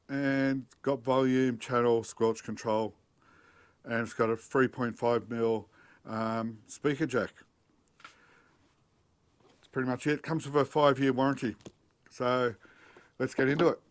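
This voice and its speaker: A man's voice: mid-range voice, smooth, no echo. Plain-spoken middle-aged Australian man. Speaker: host of a DIY four-wheel-drive channel. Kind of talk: casual unboxing and installation walkthrough. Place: outdoors in a backyard, later inside a car cabin.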